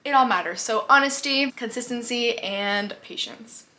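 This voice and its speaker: A young woman from the United States answers viewer questions straight into her camera. Her voice is bright and sits a little high, and her delivery is chatty and upbeat, with easy laughs and plenty of "like". It sounds like relaxed vlog talk. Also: normal speed, low-quality recording. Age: 20s. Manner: chatty and upbeat, laughs easily, says "like" often. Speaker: vlogger. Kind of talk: casual Q&A vlog. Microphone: camera mic, talking to camera.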